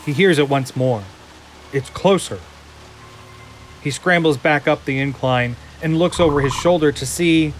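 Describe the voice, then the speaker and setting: A man reading dramatic scripted narration for an audio drama with suspenseful, deliberate phrasing over music. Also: mid-range voice. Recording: loud cinematic music bed.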